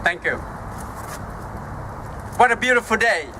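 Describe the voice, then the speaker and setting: A man in his fifties from Vietnam with a Vietnamese accent, giving a formal speech as guest speaker at an outdoor ceremony, slow and halting with emphatic pauses. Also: high voice, expressive, noisy background. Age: 50s.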